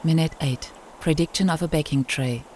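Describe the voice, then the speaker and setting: A woman's voice: deep voice, normal speed, slight background noise, close to an everyday voice. A woman playing the pensive inner voice of a lone wanderer, narrating a radio play. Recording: prominent music under the voice. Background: loud music.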